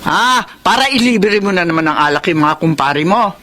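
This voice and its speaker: A woman's voice: on the low side, animated, normal speed, fairly bright tone. A woman playing a feisty, sarcastic middle-aged mother in a radio drama, scolding.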